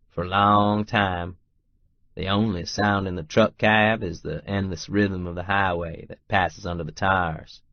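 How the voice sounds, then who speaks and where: Man acting a slow-drawling Texas oilman. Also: deep voice, no room sound, dull audio. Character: stubborn, wry, lovestruck Texas oilman cowboy narrating his romance. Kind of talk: first-person audiobook narration with dialogue.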